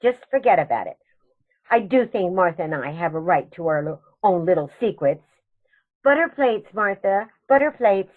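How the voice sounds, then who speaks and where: An actress playing a genteel elderly aunt in a comedy radio play, serenely matter-of-fact and gently chiding. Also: female voice, on the low side, very animated, normal speed, phone-quality sound. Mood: prim, matter-of-fact. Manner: prim, gently chiding, unruffled. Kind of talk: stage comedy radio theatre acting.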